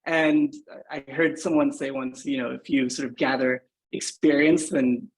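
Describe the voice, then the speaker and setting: A man's voice: mid-range voice, fairly even intonation, measured pace, low-quality recording. A man from Singapore in his thirties, a podcast guest talking thoughtfully with lots of "you know" and "right?" fillers. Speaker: guest, agency founder. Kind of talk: podcast interview.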